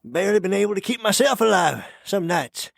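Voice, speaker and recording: A man playing a jittery, secretive old gold prospector in a western audio drama, creaky and stammering, with a rural American accent. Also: sits high, lively intonation, fairly quick, no room sound, studio quality, heavily acted. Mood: nervous, evasive. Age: older. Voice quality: creaky, cracked. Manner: stammering, nervous, repeats himself.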